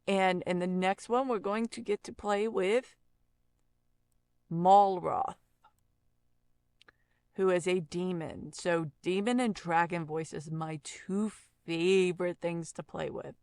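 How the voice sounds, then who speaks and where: A woman hosting an informal audio software tutorial, explaining step by step in a conversational, unhurried way. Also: on the low side, fairly even intonation, clean tone, no room sound. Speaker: audio effects tutorial host, voice-over artist.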